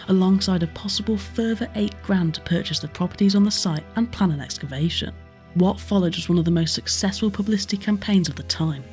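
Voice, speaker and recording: A woman's voice: on the low side, a little mellow. A woman with a British accent, giving scripted narration as a history tour presenter. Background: background music.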